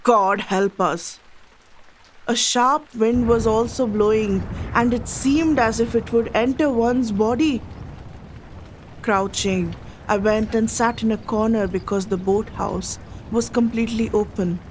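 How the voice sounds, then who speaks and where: Woman doing a plaintive indian lady traveller, slow dramatic radio play telling, faint rain noise behind. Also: fairly high pitch, lightly acted. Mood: miserable. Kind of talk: radio play storytelling, reading a travel account. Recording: rain sound effects over the voice. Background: rain effects.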